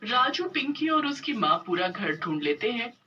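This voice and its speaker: A woman reading scripted narration for an animated story. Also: fairly high pitch, normal speed, far from the mic, dull audio, a little hiss or hum. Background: music.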